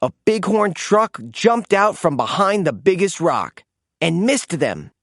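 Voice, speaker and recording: Slow, very animated storybook narrator. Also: mid-range voice, no room sound, studio quality. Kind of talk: animated children's read-aloud narration.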